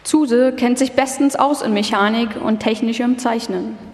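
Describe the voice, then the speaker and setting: Woman, scripted documentary narration, fairly high pitch, echoing hall, live delivery. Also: normal speed, darkish tone. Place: live stage performance in a hall. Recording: echoey hall, long reverb.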